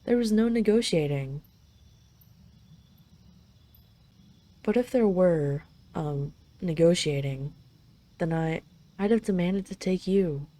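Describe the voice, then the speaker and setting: A woman's voice: lowish voice, measured pace, warm tone, natural voice. A woman voicing a haughty dragon queen in an intimate roleplay drama, imperious and teasing, possessively fond of her captive. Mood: proud, possessive. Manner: imperious, teasing, intimate, slightly flustered when admitting affection. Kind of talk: roleplay audio drama addressed to the listener.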